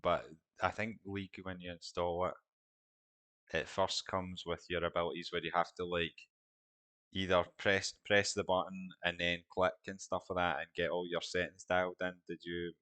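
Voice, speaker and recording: A man's voice: low-pitched, level tone, moderate pace, sharp tone, smooth, no room sound. A man hosting an interview, asking chatty, rambling questions. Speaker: interview host. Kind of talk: casual interview.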